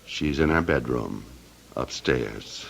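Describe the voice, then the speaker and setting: Deep, menacing scheming heir. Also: male voice, expressive, vocal fry, slightly rough, exaggerated voice. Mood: gloating. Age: 30s. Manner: menacing, mocking, theatrically deliberate. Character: cold, scheming, blackmailing heir in his late thirties. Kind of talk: old-time radio drama acting.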